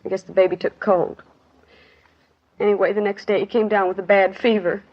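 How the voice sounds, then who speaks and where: A woman's voice: mid-range voice, little pitch movement, a little fast, natural voice. Actress for grieving working-class woman witness, 1950s radio drama, plain clipped delivery, muffled vintage sound. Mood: sorrowful. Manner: plain clipped sentences, colloquial grammar. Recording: dull 1950s radio recording.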